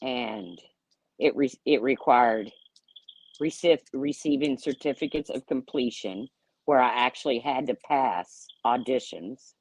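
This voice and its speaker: A woman's voice: a bass voice, measured pace, a little mellow, slightly rough, poor audio. Blunt, sarcastic woman in her fifties. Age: 50s. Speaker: YouTube livestream host. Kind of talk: casual livestream rant, answering chat.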